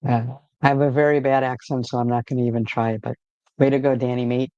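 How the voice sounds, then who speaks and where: A man hosting a casual livestream, rambling in a relaxed way with frequent fillers and restarts, talking from home. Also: mid-range voice, fast, no room sound, dull audio. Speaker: livestream host.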